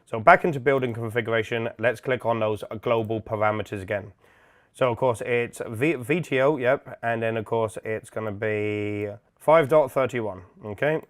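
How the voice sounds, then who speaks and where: A man's voice: medium pitch, normal speed. Male tutorial presenter, methodical and informal, explains each step plainly. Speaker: instructor, product demonstrator. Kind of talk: software setup tutorial, step-by-step walkthrough.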